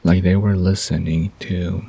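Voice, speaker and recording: A man narrating a romantic bedtime story in a slow, intimate style. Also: low voice, flat delivery, mellow, husky.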